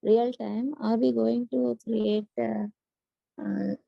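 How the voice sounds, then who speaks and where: A woman's voice: a little high, level tone, slow pace, creaky, no echo, soft background music. A woman asking questions as a student in a lesson, hesitant, on dull online call audio.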